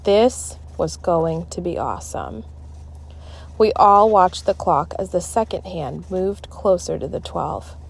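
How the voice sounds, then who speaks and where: A woman narrating a children's book aloud in an expressive, dramatic, deliberate style. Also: lowish voice, vocal fry, breathy edge. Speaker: storybook reader.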